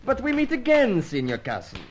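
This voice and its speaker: A man's voice: very high voice, huge pitch swings, a little fast, exaggerated voice. A man playing a shady South American villain in a spy radio serial, formal and mock-polite with menace. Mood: gloating menace. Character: smooth-talking gloating villain, shady South American henchman. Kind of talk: old-time spy radio serial acting. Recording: faint background noise.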